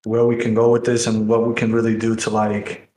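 A man's voice: lowish voice, completely flat delivery, brisk, dark tone, reverberant, a little hiss or hum, low-quality recording. A middle-aged man chatting casually as a podcast host, rambling and slangy.

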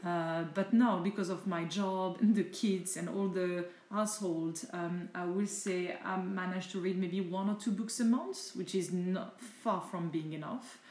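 French-accented woman with a mellow voice. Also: fairly low pitch, reverberant. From France. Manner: thoughtful, chatty answers with searching pauses. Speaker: interviewee, mother of the host. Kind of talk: casual home interview.

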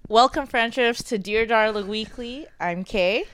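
A woman's voice: on the high side, a little slow, fairly bright tone, smooth, no room sound. A woman co-hosting a podcast in casual chat, inquisitive and often chiming in with questions. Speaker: podcast co-host.

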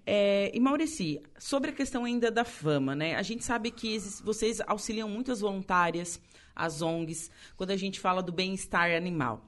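A woman's voice: medium pitch, normal speed, fairly bright tone. A woman from Rio Grande do Sul with a mid-range voice. She hosts the interview in a chatty, affirming way and asks follow-up questions. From Rio Grande do Sul, Brazil. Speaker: interviewer, host. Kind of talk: conversational interview.

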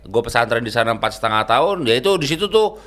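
A young man from East Java with a Javanese accent, telling animated stories in casual chat and laughing often. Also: mid-range voice, fast. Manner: laughing storyteller, animated anecdotes. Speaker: guest.

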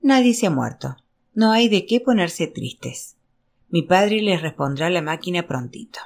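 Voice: mid-range voice, lively intonation, unhurried, gravelly. A storyteller plays a big, breezy young man, the boss's son in a novel. He is cheerful and conciliatory, waving away every quarrel.

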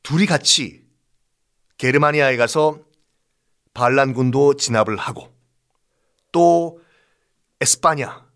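A man's voice: mid-range voice, takes it slowly, pauses often, fairly bright tone, smooth. A man telling history as a host in a conversational, dramatic and jokey style with emphatic repetition. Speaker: history storyteller and podcast host. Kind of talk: animated conversational history storytelling.

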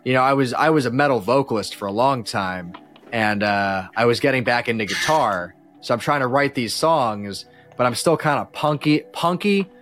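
A man's voice: mid-range voice, lively intonation, moderate pace, a bit bright, faint music behind. A man chatting casually as a podcast guest, telling stories about his life as a musician in a slangy, rambling way with frequent false starts.

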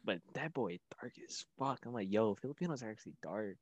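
A man's voice: lowish voice, expressive, brisk, no room sound, lo-fi sound. A young man co-hosting a podcast, trading casual, swearing, joking banter. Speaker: podcast co-host.

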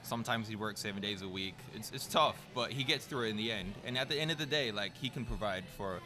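A man's voice: on the low side, fast, music in the background. A man chatting casually and playfully, laughing often and using slang. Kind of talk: casual chat.

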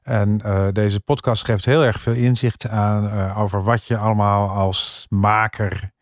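A man's voice: on the low side, little pitch movement, measured pace, dry recording, clean studio sound. A man chatting casually as a panelist on a podcast, talking as an artist. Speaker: artist, theatre maker.

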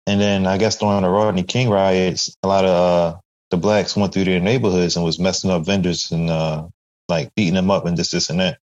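A man in a casual podcast conversation, telling a story in an animated way and acting out the quotes. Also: low voice, a little fast, muffled recording.

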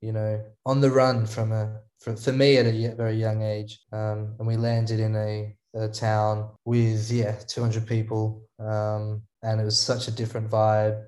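A man's voice: fairly low pitch, completely flat delivery, a little mellow. Australian man rambling in an echoey room. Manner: rambling, halting, restarts often. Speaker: podcast guest, songwriter. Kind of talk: casual interview storytelling.

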